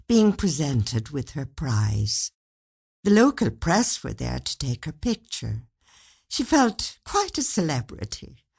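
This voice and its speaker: A woman narrates a short story in a low, slightly husky voice. She takes her time, and her intonation moves widely as she tells the tale expressively. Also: a little mellow.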